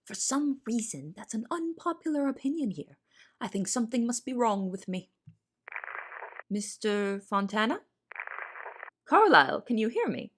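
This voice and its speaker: A woman's voice: a little high, sing-song, moderate pace, pauses often, fairly bright tone, a little hiss or hum. A woman playing an anxious young cook in an audio drama, narrating and speaking with confessional, dramatic intensity. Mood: worried. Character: anxious young female cook in a big house. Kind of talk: audio drama first-person narration and dialogue.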